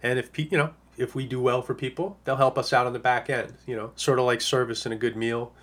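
Hoarse man rambling about fantasy picks. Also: medium pitch, little pitch movement, fast, a bit bright. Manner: rambling, lots of uh and you know. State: hoarse, losing his voice. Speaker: fantasy football analyst. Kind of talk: casual podcast monologue.

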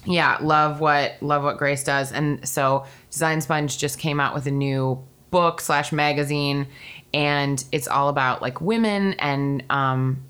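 Bubbly low-voiced woman podcast host. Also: steady tone, a bit bright, clean tone. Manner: bubbly, chatty, quick to laugh, says "like" a lot. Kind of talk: casual chat. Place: home.

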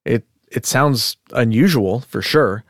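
A man's voice: mid-range voice, slow pace, no echo, studio quality. Man casually retelling a story. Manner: chatty, relaxed, thinks aloud with fillers and asides. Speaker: podcast co-host.

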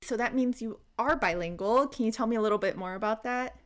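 A woman's voice: fairly high pitch, fast talker. Upbeat young woman hosting a podcast. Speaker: podcast host. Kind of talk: podcast interview.

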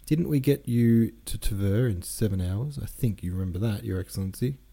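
A man's voice: lowish voice, moderate pace, creaky, dark tone. A man voicing a cheeky, deferential peasant coach driver in his twenties from a novel, boastful in his storytelling. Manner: respectful address, boastful storytelling.

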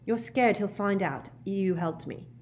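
A woman voicing a quiet, determined teenage boy wizard in a storybook, steady and insistent. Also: mid-range voice, measured pace, a little hiss or hum, voice pushed up, lightly acted. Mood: calm, determined.

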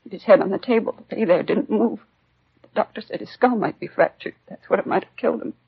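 Husky, creaky American widow. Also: female voice, mid-range voice, fast. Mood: distraught. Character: newly widowed housewife, shaken, devoted, politely composed. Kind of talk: 1950s radio drama acting. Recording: dull 1950s radio recording.

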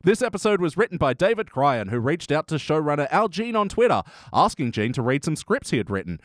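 A man's voice: a little high, sing-song, a little fast, dry recording, studio quality. An Australian man hosting a casual review podcast with a wry, chatty manner. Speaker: podcast host. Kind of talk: casual comedy review chat.

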